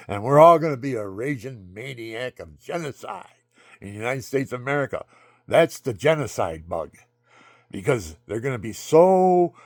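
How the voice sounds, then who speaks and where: A man telling a rambling personal story in a monologue, jumping between loud outbursts and low mutters. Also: dry recording, clean studio sound. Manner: calls himself low-voiced.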